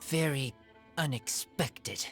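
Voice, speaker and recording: A man's voice: medium pitch, slow, soft background music, natural voice. A man voicing a shy feral teenage boy raised by wolves in an anime-style game, speaking in terse, broken phrases. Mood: sheepish.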